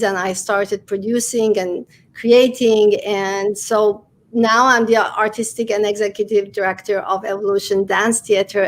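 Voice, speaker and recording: A woman from Iran, a dance theater director, talking earnestly as an interview guest. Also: mid-range voice. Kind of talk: casual interview talk.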